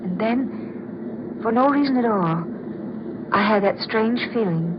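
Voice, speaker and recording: An actress playing a troubled soprano star haunted by dread, in theatrical old-time radio horror style with lingering dramatic pauses. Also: female voice, a little high, lively intonation. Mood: mounting dread. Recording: dull, very noisy vintage radio recording.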